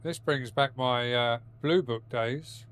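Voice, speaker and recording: A man's voice: medium pitch, takes it slowly, fairly bright tone. A man streaming a game from his home setup, talking casually with a blocked nose and a rambling, sweary manner. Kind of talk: casual live-stream chat while gaming.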